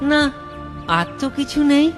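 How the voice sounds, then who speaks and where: A woman's voice: normal speed, soft background music, lightly acted. A woman voicing a simple-hearted, earnest village boy in a fairy-tale cartoon, often hesitating and repeating words. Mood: polite, hesitant. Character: naive, simple-hearted village boy devoted to his mother.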